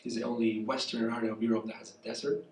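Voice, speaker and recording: Spanish-accented man, congested, soft and halting, miked from afar in an echoey café with faint chatter behind. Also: medium pitch, flat delivery, slight background noise. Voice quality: soft, weak voice. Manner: halting, searching for words, apologetic. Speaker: guest professor. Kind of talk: public conversation, reflective lecture talk. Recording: echoey room from far off.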